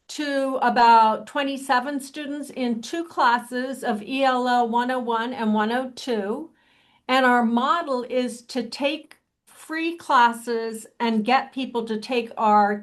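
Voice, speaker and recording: Woman college dean, kinda high voice, chatty webinar intro. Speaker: associate dean, administrator. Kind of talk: webinar panel remarks.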